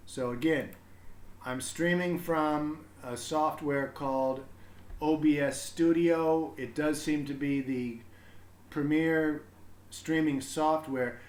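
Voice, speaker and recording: A man's voice: a little high, steady tone, takes it slowly. A man talking casually on a livestream, explaining things in a rambling way as he thinks aloud. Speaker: live streamer reading to children. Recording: roomy, echoing room sound.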